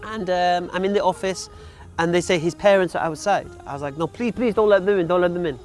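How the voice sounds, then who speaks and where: A man's voice: high voice, quick pace, a little mellow, faint music behind. A man of forty who grew up in Wales, speaking frankly about his life in a casual interview, with frequent ums and uhs. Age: 40s. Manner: frank, plain-spoken, with many ums and uhs. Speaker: interview guest. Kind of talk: casual personal storytelling.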